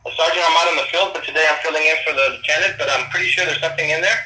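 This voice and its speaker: A man's voice: a little high, fairly even intonation, very fast, sharp tone. A man, a police sergeant, on a phone call, explaining procedure in a matter-of-fact way. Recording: echoey phone line.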